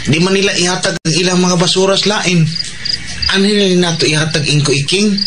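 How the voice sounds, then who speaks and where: A man's voice: high voice, fairly even intonation, fairly quick, close to an everyday voice. A man playing a polite, earnest young garbage scavenger in a radio drama, with a Cebuano accent. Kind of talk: Filipino radio drama acting. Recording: loud music bed behind dialogue.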